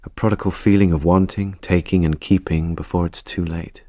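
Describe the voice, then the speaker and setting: A man acting as a reflective narrator in a radio drama, recalling the past in a measured, literary way. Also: deep voice, fairly even intonation, warm tone, no room sound, close to an everyday voice. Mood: wistful. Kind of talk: scripted first-person drama narration.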